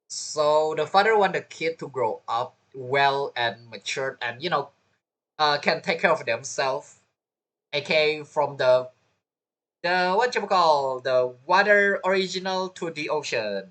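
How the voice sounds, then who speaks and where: A man's voice: a little high, a little slow, a bit bright. A man giving casual gameplay commentary, musing in a rambling, informal way. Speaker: gaming video creator.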